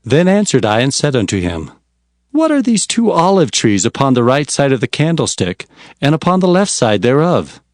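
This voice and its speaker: A man's voice: medium pitch, moderate pace, dry recording, clean studio sound. Man as an earnest prophet with sweeping intonation. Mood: earnest, wondering. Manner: expressive, formal, storytelling. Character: earnest male prophet recounting his visions. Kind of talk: dramatized scripture narration. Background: faint music.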